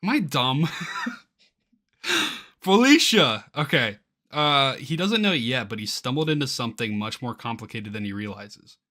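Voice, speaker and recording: A man giving casual reaction commentary as he reads aloud from a web page, excitable and quick to exclaim in disbelief. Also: mid-range voice. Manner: excitable, easily incredulous, exclaiming. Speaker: YouTuber reacting to a game's crowdfunding page.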